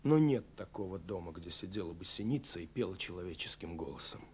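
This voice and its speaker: An actor playing an earnest young romantic in a 1970s Soviet radio play, confiding haltingly with dramatic pauses. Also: male voice, sits low, expressive, a little mellow, breathy edge, heavily acted. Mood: searching, restless. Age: young adult. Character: earnest young romantic man searching for a mysterious girl. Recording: 1970s radio recording with faint music. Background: faint music.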